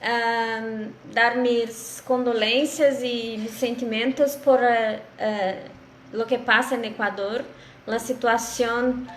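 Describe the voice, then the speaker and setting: A Brazilian woman with a Brazilian Portuguese accent speaking Spanish as a second language, greeting an online audience as a guest lecturer, halting with many fillers and restarts, over a video call. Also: fairly high pitch, reverberant, a little hiss or hum.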